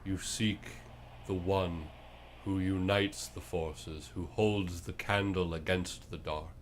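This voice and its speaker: A man working as game master, improvising descriptive narration for a tabletop game in a halting way. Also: low voice, steady tone, a little hiss or hum.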